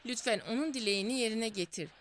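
A woman's voice: on the high side, quick pace, a bit bright, a little hiss or hum, natural voice. A woman voicing a meek, hesitant fisherman in a storybook reading, speaking in a timid, pleading way.

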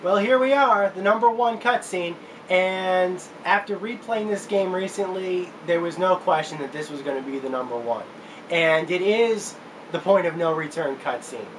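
A man's voice: high-pitched, moderate pace. Casual young man with noisy room sound. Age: 20s. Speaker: video host and reviewer. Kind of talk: casual commentary to camera. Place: small indoor room with bookshelves. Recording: clear steady room noise.

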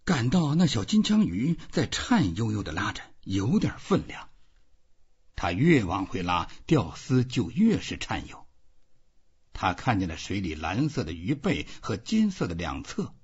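Male literary narrator, lowish and very raspy, expressive delivery, muffled audio. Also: unhurried. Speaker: audiobook reader.

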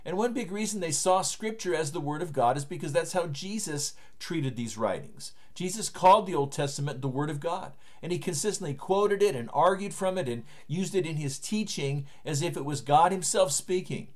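A pastor in his fifties preaches straight to a webcam from a home study lined with books. His voice sits a touch higher than most men's, and his delivery is earnest and emphatic. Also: animated, moderate pace, few pauses, darkish tone. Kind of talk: recorded online sermon. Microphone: webcam framing, seated at desk.

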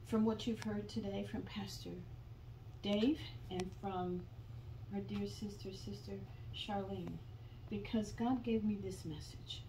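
A woman's voice: medium pitch, fairly even intonation, a little slow, dark tone, slight background noise. An older woman preaching a fervent sermon at a pulpit in a small church, quoting scripture and breaking into song. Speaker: guest preacher. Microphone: phone camera from the pews, far off. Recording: echoey room, far from the phone mic.

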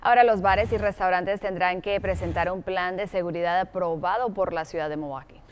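A woman's voice: fairly low pitch, fairly even intonation, brisk, clean tone. A woman in her thirties presenting news and weather as a television anchor in a studio.

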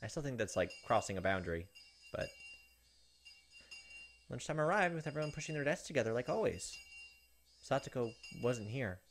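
A man's voice: medium pitch, very animated, moderate pace, long pauses. Man reading a visual novel aloud, music behind. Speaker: gameplay video host. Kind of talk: expressive read-aloud narration of a visual novel.

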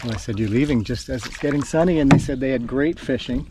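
A man chatting casually to camera outdoors, with a laid-back, musing and slightly halting delivery. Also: medium pitch, very noisy. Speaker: outdoor vlogger. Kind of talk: casual vlog chat to camera. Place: outdoors on a lake in a canoe.

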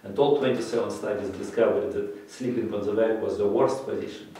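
A middle-aged man, for whom English is a third language, answering interview questions in halting speech with many fillers. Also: on the low side, steady tone, mellow, slight background noise. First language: not English; English his third language. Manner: halting, many fillers and restarts, gestures while talking. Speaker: interviewee, breathing method teacher. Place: bright office room, indoors. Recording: echoey room from a distance.

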